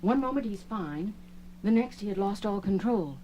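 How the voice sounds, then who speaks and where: An actress playing a grave, precise space base doctor in a science fiction audio drama, measured and clinical. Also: female voice, medium pitch, lively intonation, very noisy, natural voice.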